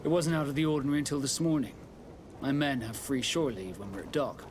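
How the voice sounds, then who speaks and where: A male actor voices a middle-aged ship's captain for a video game. He is formal and stern, choosing measured words with authority. Clear background noise sits behind the voice. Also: mid-range voice, lightly acted. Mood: grave, concerned. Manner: formal, measured, commanding phrasing. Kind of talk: video game dialogue acting.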